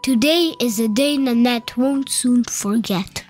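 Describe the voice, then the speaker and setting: A woman reading a children's picture book aloud in a slow, playful storybook manner. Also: on the high side, a little mellow. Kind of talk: children's read-aloud narration. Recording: faint music behind the voice.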